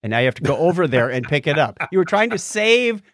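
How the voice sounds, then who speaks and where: A man's voice: on the high side, sing-song, quick pace, a bit bright, dry recording, clean studio sound. A man co-hosting a podcast, talking casually and playfully as he tells stories and reads out listener comments. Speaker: podcast co-host.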